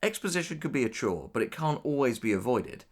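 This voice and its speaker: Animated British man presenting advice. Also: mid-range voice, a little fast. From Britain. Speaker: co-presenter, audio drama writer. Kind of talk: scripted presentation of numbered writing tips.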